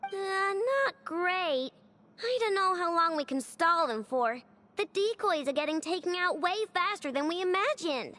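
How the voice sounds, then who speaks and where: An excitable little inventor girl from a video game. Her voice is extremely high and bright, swinging playfully from whine to chirp, with game music behind it. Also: full character voice. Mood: weary, whiny. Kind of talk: animated video game voice acting.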